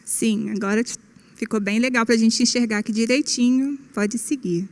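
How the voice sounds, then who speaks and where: A woman with a high voice opens and moderates a panel discussion. She speaks in a relaxed, friendly way and keeps tagging her phrases with casual fillers. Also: normal speed.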